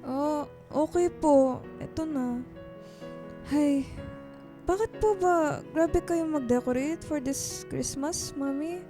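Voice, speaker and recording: A woman's voice: high voice, slow pace, long pauses, over music. A woman playing a thirteen-year-old daughter in a Filipino radio drama, childlike, whiny and pleading but cheerful. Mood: whiny, reluctant. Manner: childlike, whining, polite pleading.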